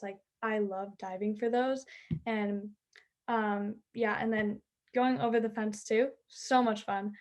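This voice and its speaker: A teenage girl who plays high school softball, chatting eagerly in an interview with lots of fillers. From Southern California. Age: teens. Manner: bubbly, chatty, many "like" and "um" fillers. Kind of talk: interview answers.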